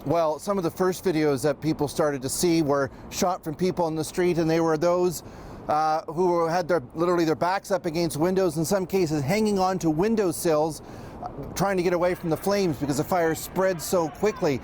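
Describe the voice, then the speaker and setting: Male news correspondent, high-pitched voice, halting unscripted live delivery, frequent hesitations, faint background noise. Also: steady tone, darkish tone.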